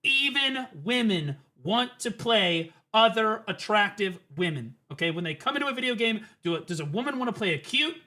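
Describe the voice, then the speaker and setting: A man's voice: high-pitched, lively intonation, normal speed, hardly any pauses, bright, forceful tone. A man streaming, reacting to clips with emphatic, profane, rambling commentary. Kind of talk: casual ranting commentary.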